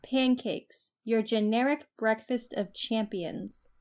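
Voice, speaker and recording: A woman's voice: on the high side, slow, a little mellow. A woman acting a friendly, headstrong young woman in an amateur audio story. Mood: friendly, teasing.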